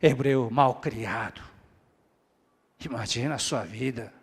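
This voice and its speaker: A man preaching a sermon as pastor, dropping to hushed asides and then rising to loud, high, emphatic repeated phrases. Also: slow pace, breathy edge. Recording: echoey hall with long reverb.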